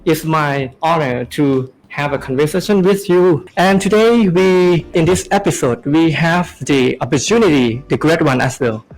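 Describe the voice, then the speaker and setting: A man's voice: a little high, soft background music, poor audio. Hesitant young man hosting a podcast. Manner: hesitant, halting with many fillers. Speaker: podcast host. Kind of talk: casual podcast chat.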